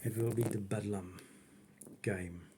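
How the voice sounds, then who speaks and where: A man from the Czech Republic, speaking English with a Czech accent, calmly walking through a home computer demo in his workroom. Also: fairly low pitch, background noise. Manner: unhurried, plain, talks while working the computer. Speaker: retro computing hobbyist. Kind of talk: casual home demo walkthrough.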